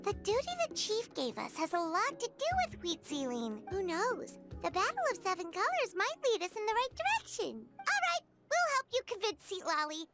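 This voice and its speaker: Squeaky, chirpy little fairy. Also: female voice, big pitch swings, moderate pace, few pauses, full character voice. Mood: helpful, bossy. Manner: squeaky, childlike, forthright. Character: tiny chirpy childlike fairy companion, female. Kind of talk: video game voice acting. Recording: game music behind the voice.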